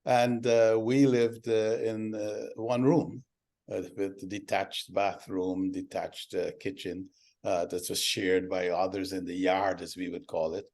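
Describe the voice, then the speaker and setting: An older man from Guyana, a guest telling his life story in a measured way with frequent pauses. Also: low voice, level tone, a little mellow. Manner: measured, recalls details with many "uh" pauses. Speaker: guest, doctor and company founder.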